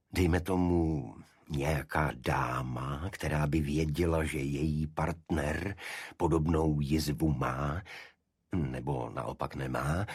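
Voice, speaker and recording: A man's voice: rumbling low, a little mellow, slightly rough, dry recording, studio quality, full character voice. A man voicing a reserved military doctor in an audiobook, speaking formally, precisely and with delicate care. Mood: discreet, awkward. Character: reserved military doctor, precise, discreet, slightly embarrassed gentleman. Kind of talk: audiobook character dialogue.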